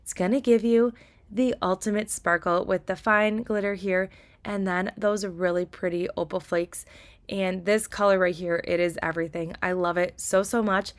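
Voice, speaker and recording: A young woman talks through her tutorial in a bubbly, chatty voice-over. Her voice is a little raspy, and her pitch sits in an ordinary middle range. She gushes freely and scatters casual fillers as she goes. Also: fairly even intonation, moderate pace. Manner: enthusiastic, chatty, lots of "like" and "kinda". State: slightly raspy voice. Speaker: nail tutorial creator.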